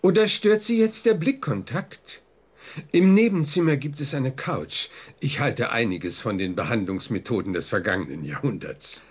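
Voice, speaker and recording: An actor playing a suave, probing psychiatrist in a radio drama, husky and soothing in a professional manner. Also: male voice, medium pitch, animated, moderate pace, faint music behind, natural voice. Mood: professional, reassuring. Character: suave, reassuring male psychiatrist, discreet and probing. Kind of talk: German radio drama acting.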